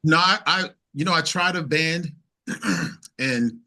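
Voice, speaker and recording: A man's voice: a little high, slow, pushed and bright. A man speaking as a singer-songwriter guest in a casual interview, emphatic and repeating phrases as he builds his points.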